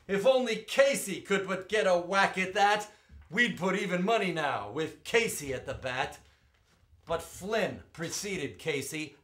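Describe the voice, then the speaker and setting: A man performing as a poetry reader, with a theatrical, emphatic storytelling delivery and drawn-out words. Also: on the high side, fairly bright tone. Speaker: performer reading poetry aloud. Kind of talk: dramatic poetry recitation with spoken introduction.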